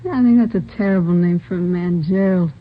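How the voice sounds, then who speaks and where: An actress playing a breezy, streetwise nightclub performer in a vintage radio drama, talking in casual slang. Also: female voice, on the low side, brisk, lightly acted. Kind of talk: 1940s-50s radio drama acting. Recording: muffled noisy old radio recording.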